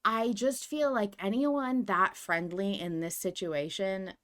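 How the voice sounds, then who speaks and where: A woman's voice: medium pitch, steady tone, slow pace. Woman podcast host, chatty and kinda rambly, gets emphatic about stuff. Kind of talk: casual discussion of a fiction podcast.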